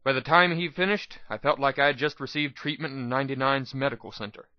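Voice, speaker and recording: A man's voice: medium pitch, fast, no echo, muffled recording. Man narrating a story, very dry sound. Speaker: narrator, reader. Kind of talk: dramatic first-person story narration.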